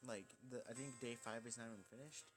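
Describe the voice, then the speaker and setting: A man hosts a visual novel playthrough in a medium-pitched voice. He is informal and trips over words as he reads and comments. Guitar music plays clearly behind him. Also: fairly even intonation, a little fast. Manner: informal, stumbles while reading. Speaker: let's play host. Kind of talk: reading visual novel aloud with casual asides.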